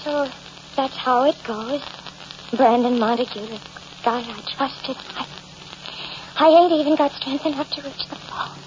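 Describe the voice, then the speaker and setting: An actress playing a coy, cheerful murderess in old radio drama, chatty and mock-innocent. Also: female voice, sits high, measured pace, long pauses, darkish tone. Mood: weakening, wistful. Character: cheerful, coy murderess narrating her crimes, primly self-justifying. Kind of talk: 1940s-50s radio drama acting. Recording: dull old radio broadcast, noisy.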